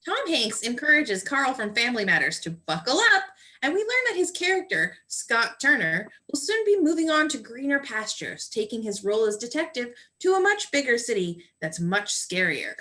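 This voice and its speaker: A woman narrating a comedy radio play in a wry, playful way. Her voice is bright and sharp, a little high, and lively. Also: moderate pace, hardly any pauses.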